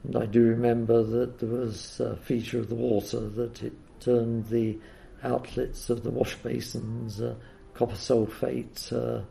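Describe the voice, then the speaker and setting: An older man with a croaky voice, recalling the past in an interview, hesitating often with uhs and ums. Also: mid-range voice, level tone, unhurried, hardly any pauses, dark tone, a little hiss or hum. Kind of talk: oral history reminiscence.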